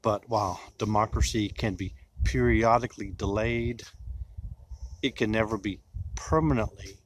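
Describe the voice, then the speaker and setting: A man reciting poetry in a solemn style, with deliberate phrasing and dramatic pauses. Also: fairly low pitch, a little hiss or hum.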